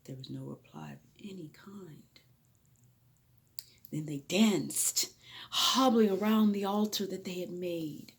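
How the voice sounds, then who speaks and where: A woman narrating a Bible story as a theatrical, emphatic dramatic reading, moving between hushed and loud delivery. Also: fairly low pitch, husky. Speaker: dramatic reader.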